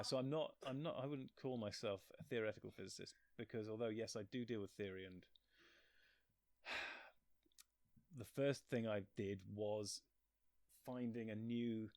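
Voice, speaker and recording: A man's voice: fairly low pitch, no echo. Self-deprecating man chatting, close dry sound. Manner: rambling, self-deprecating, often breaking off mid-thought. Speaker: interview guest; physicist and author. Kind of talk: casual chat.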